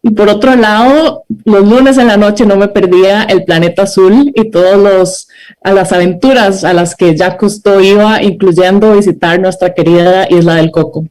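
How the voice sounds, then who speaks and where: A woman's voice: medium pitch, normal speed, darkish tone, low-quality recording. A Costa Rican woman in her forties giving an earnest, story-driven talk over a video call, with frequent hesitant fillers. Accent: Costa Rican Spanish. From Costa Rica. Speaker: scientist speaker.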